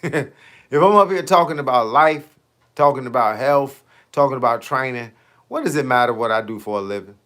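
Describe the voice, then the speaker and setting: A man's voice: medium pitch, normal speed, a bit bright. A man in his late fifties, a fitness coach chatting casually on his livestream, slangy and talkative, answering viewers' comments with rhetorical questions. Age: 50s.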